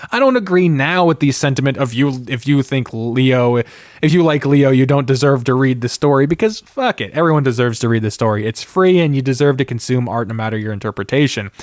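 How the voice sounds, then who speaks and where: A man's voice: mid-range voice, dry recording, studio quality. A man hosting a podcast, talking in a casual, opinionated rant with an exasperated, sardonic manner and plenty of swearing. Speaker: podcast host.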